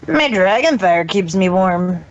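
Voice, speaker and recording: A woman's voice: on the low side, lively intonation, measured pace, no echo, dull audio. A woman voicing a young male dragon, a jumpy, wisecracking kid sidekick in a fan-fiction audio drama. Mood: explaining, wry.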